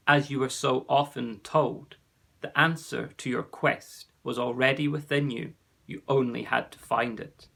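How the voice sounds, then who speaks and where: A man voicing a wise, solemn male warrior who speaks in slow, measured pronouncements. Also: mid-range voice, gravelly, sharp tone, exaggerated voice.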